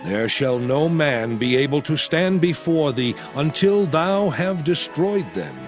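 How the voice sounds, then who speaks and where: A man's voice: on the high side, animated, faint music behind. A man playing a solemn, commanding Hebrew prophet, preaching God's law in a grave, declamatory dramatized scripture reading. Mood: stern, commanding. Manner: grave, declamatory, deliberate phrasing.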